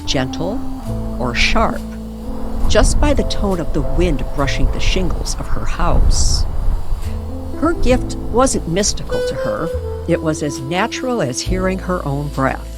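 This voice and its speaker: A woman delivers dramatic, old-time radio narration in a lower register. Her pace is slow and deliberate and her pitch swings widely for suspense, with music clearly playing behind her. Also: big pitch swings. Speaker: storyteller.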